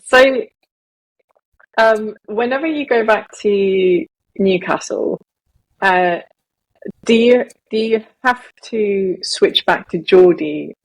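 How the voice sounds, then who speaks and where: A woman co-hosting a podcast, chatting casually in a measured, inquisitive way. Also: medium pitch, poor audio. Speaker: podcast co-host.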